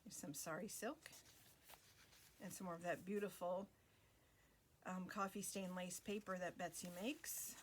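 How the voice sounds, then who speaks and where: A woman hosting a craft video, talking casually through a flip-through with many fillers and pauses. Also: lowish voice, steady tone, a little hiss or hum.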